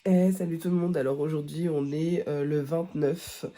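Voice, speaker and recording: A woman's voice: sits low, steady tone, normal speed, mellow, a little hiss or hum. A woman vlogging at home with a head cold, talking casually and rambling with many fillers and restarts. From France. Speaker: beauty vlogger. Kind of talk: casual chat to camera. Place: at home, early morning.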